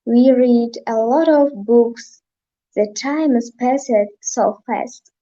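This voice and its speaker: High, mellow woman reading slowly. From Uzbekistan. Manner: slow, halting reading. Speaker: guest poet. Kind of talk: poetry recitation. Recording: dull lo-fi online call audio.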